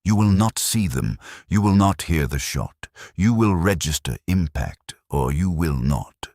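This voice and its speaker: A man's voice: sits low, lively intonation, unhurried, a little mellow, dry recording, studio quality, full character voice. A man voicing a war-weary veteran soldier in a story, blunt and fatalistic, speaking in stern, clipped, emphatic sentences. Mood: stern warning.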